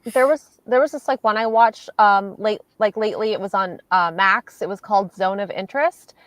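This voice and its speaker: A woman chatting casually on a livestream, telling things in a halting, reflective way. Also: medium pitch, no room sound. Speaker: host of reading livestream. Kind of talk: casual chat.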